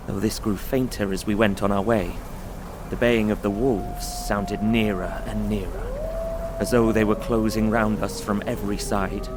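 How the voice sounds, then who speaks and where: A man playing an anxious traveller in an audio drama, recounting a frightening journey in measured, literary, suspenseful narration. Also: on the low side, noisy background. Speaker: narrating lead character.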